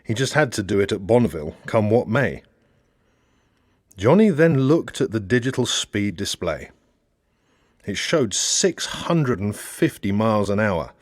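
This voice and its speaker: A man with a fairly low voice narrates a satirical audiobook. His intonation moves widely, with measured storytelling and expressive emphasis. The recording is close and dry, with hardly any room sound. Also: dry recording. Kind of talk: scripted narration of a satirical novel.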